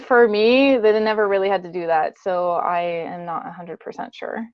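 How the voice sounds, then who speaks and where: A woman's voice: on the low side, steady tone, moderate pace, clear, resonant tone, dry recording, lo-fi sound. A woman giving a casual, matter-of-fact personal account as an interview guest.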